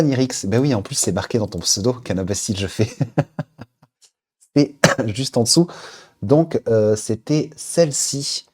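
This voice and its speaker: A man's voice: fairly low pitch, a little mellow. A man hosting a casual live stream, reading names from the chat aloud and hesitating with lots of fillers as he queues up listeners' tracks. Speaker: Twitch stream host.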